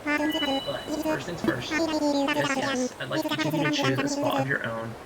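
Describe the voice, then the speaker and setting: A streamer with a raspy voice voicing a male raccoon businessman in a game, speaking formally and slowly. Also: sits high, big pitch swings, a loud crowd, voice pushed up, heavily acted. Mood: pompous welcoming. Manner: formal, grandly welcoming speech, drawn-out pacing. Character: fussy cheerful raccoon businessman, male, middle-aged. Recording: loud game music behind.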